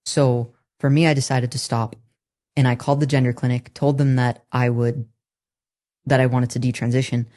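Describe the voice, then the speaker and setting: Young woman, deep low voice, earnest, candid, halting restarts, podcast guest. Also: level tone, warm tone. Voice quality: somewhat deep, masculine-leaning voice. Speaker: interview guest. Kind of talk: personal testimony in a podcast interview.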